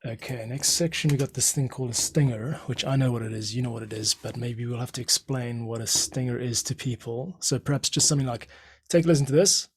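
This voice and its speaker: A man's voice: medium pitch, fairly quick, husky. A man hosting and producing a podcast, chatting casually and giving informal, encouraging direction to a guest. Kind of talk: casual chat, coaching a guest.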